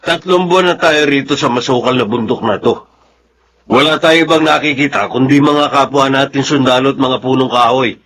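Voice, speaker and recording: An actor playing a stern, teasing young soldier in a Filipino radio drama, with a Filipino accent and a bossy, needling manner. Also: male voice, mid-range voice, brisk, fairly bright tone, natural voice.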